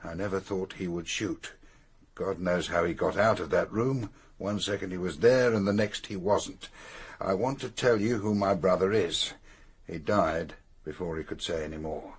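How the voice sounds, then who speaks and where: An actor playing a brisk, authoritative police inspector in a radio drama, asking direct questions in a businesslike way. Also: male voice, sits low, slightly rough.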